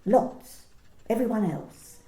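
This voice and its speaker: A woman's voice: a little high, slow pace, dark tone. A woman acting an officious, politely menacing manager in an amateur radio play, with formal, precise, probing questions. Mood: officious, pedantic. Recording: roomy, echoey home recording.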